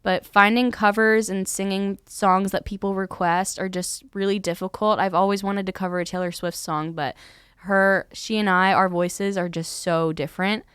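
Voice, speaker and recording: A woman's voice: medium pitch, fairly even intonation, moderate pace, a bit bright, smooth, no echo, clean studio sound. A teenage girl from Philadelphia, a singer-songwriter, chatting casually as a guest and saying "like" a lot. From Philadelphia, USA. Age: teens. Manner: chatty, lots of "like", laughs while talking. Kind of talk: casual interview chat.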